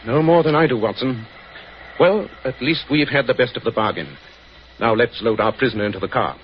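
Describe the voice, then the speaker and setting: An actor playing a brilliant, commanding English detective in a 1940s radio drama, with a British accent and lofty, theatrical delivery. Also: male voice, mid-range voice, lively intonation, a little fast, close to an everyday voice. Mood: stern, resolute. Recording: dull, noisy 1940s radio recording.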